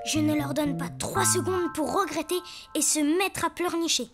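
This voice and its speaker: Really high little boy space hero, bossy but sweet kid, cartoon, loud music behind. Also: moderate pace. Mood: huffy. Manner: childlike, exclamatory. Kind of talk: French children's cartoon voice acting.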